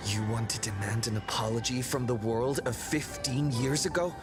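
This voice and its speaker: A man's voice: medium pitch, moderate pace, natural voice. A man playing a stern, earnest figure who admonishes a wrongdoer in a video game. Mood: stern reproach. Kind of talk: dramatic game voice acting. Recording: music clearly behind the voice.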